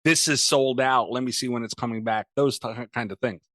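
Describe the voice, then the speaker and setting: A man's voice: medium pitch, steady tone, brisk, fairly bright tone, no echo, studio quality. A man hosting a podcast, chatting casually and enthusiastically with plenty of swearing. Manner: enthusiastic, foul-mouthed. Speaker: podcast host. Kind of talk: casual profane banter.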